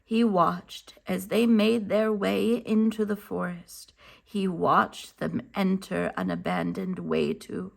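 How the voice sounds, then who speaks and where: A woman reading a children's picture book aloud as a teacher, enunciating carefully and deliberately. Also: medium pitch, animated, gravelly. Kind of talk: slow, expressive children's read-aloud narration.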